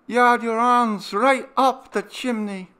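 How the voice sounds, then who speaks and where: A man's voice: extremely high pitch, steady tone, slow, pushed and bright, clear, resonant tone, pitched above the performer's own voice, exaggerated voice. A man voicing a worried mother fussing over her feverish son in a read-aloud ghost story. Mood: anxious. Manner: anxious, pleading.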